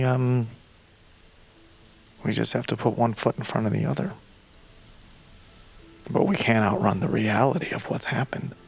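An actor playing a gentle, steady counselor in an audio drama, speaking in a soothing, unhurried, soft way. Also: male voice, lowish voice. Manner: soothing, unhurried, often softly breathy. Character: gentle, steady male counselor guiding breathing exercises.

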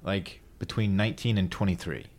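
Podcast host, low-voiced storyteller. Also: male voice, fairly quick. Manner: animated storyteller, eager and jokey. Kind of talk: casual podcast chat.

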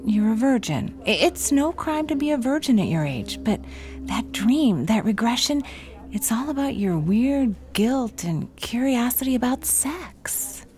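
An actress playing a caring best friend, familiar and gently blunt, in a naturalistic audio drama. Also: female voice, on the high side, very animated, a little slow, soft background music. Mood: gentle, frank. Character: frank, caring woman friend and confidant.

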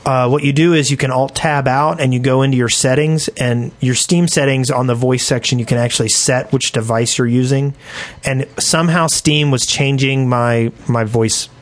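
A man hosting a gaming podcast, telling stories casually in an animated, enthusiastic way with slangy phrasing. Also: medium pitch, moderate pace. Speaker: podcast host.

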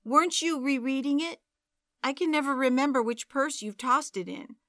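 Lady reading a sheepish young guy making excuses, kinda high and bright, dry sound. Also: moderate pace, no room sound. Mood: defensive. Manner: apologetic then dismissive, wheedling excuses. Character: defensive young adult man, sheepish boyfriend.

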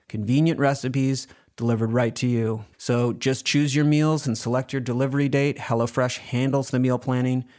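Man, mid-pitched voice, scripted sponsor read, friendly, upbeat, conversational, show creator. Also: a little mellow. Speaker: series creator reading a sponsor message.

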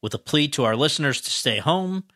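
A man's voice: on the high side, expressive, fairly quick, bright, forceful tone, slight background noise. A man hosting an awards podcast, reading a formal introduction and then asking interview questions. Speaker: podcast host.

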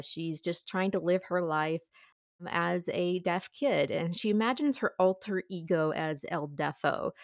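Lowish, enthusiastic woman chatting about books. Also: no room sound, studio quality. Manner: enthusiastic, informal. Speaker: audiobook reviewer guest. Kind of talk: casual conversational book discussion.

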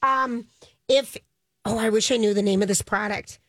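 A woman's voice: mid-range voice, fairly even intonation, a bit bright, no echo. A woman radio host, chatting eagerly and reading a live ad on a studio microphone. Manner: chatty, enthusiastic, quick asides. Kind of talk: casual radio chat and live ad reads.

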